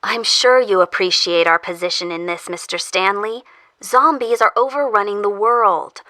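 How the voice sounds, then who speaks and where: A woman playing a controlled, earnest official who interrogates someone in an audio drama, speaking formally and in measured phrases. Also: medium pitch, bright, forceful tone, no room sound, natural voice. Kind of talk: audio fiction acting.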